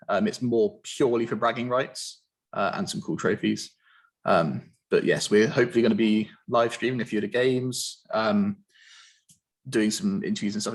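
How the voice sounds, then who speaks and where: A man hosting an online interview, explaining a tournament in a relaxed, filler-heavy way. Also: lowish voice. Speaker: host.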